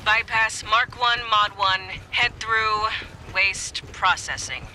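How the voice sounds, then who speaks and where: An actor playing a commanding, urgent squad leader of space marines in a video game, giving terse, clipped military orders. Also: male voice, high-pitched, slow, full character voice. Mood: terse, businesslike. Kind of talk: video game action dialogue. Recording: noisy game audio, loud effects behind.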